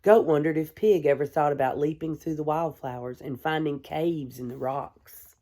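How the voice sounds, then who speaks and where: A woman, a kindergarten teacher, reading a storybook aloud to young children in a gentle, expressive way. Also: low-pitched. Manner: gentle, hushed, expressive storytelling with deliberate pauses; addresses young listeners with simple questions.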